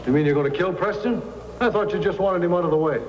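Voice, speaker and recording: A man acting a nervous crook who talks quickly. He sounds like a reluctant, complaining henchman in an old radio serial. The recording is very echoey, with a faint hum. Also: high voice, darkish tone, close to an everyday voice. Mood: worried. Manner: anxious, complaining.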